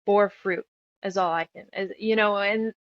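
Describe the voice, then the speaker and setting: A woman's voice: medium pitch, steady tone, moderate pace, lo-fi sound, a little hiss or hum. A woman in her thirties telling her story casually as an interview guest, rambling and emphatic, piling up lists as she goes. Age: 30s. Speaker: guest author and musician.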